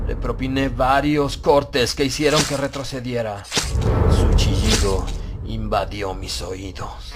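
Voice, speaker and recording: A man reading a horror audiobook as a dramatic, grave, suspenseful first-person narration. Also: mid-range voice. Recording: loud background music under the voice. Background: loud music.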